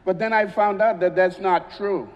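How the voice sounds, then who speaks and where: A man from Honduras, a visiting bishop giving an unhurried church address with frequent pauses. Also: high-pitched, fairly even intonation, long reverb tail, dull audio, a little hiss or hum.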